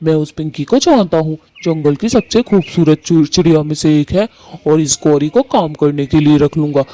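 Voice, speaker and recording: A man voicing Kalu, a harsh, bossy male bird husband in a cartoon who scolds loudly. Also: a little high, normal speed, natural voice. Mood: pleased. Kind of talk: Hindi cartoon voice acting.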